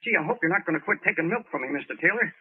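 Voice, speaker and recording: A nervous, chatty milkman from a 1930s radio play, high-voiced and folksy. His apologetic patter bounces up and down, and the old recording is thin and phone-like. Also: lively intonation, racing, slightly rough. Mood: nervous, friendly. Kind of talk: 1930s radio drama acting.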